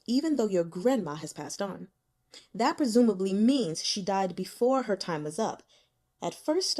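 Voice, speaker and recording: A woman reads the part of a stern, overworked male investigator in an audiobook. The delivery is measured and insistent, pressing steadily like an interrogation. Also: medium pitch, darkish tone. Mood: coolly deducing.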